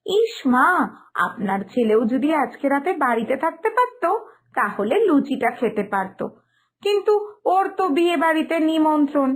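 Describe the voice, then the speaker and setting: A high-voiced young daughter-in-law from a cartoon family story, acted by a woman. She is dutiful and considerate, with a polite, slightly hesitant way of talking. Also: sing-song, normal speed. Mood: polite, coaxing.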